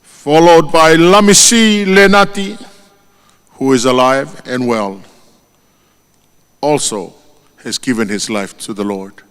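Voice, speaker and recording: Tongan-accented male eulogist, solemn and deliberate, reverberant church hall. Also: medium pitch, a bit bright, clean tone. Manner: solemn and measured, lifting his voice on each name. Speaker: eulogist at a funeral. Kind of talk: formal funeral eulogy.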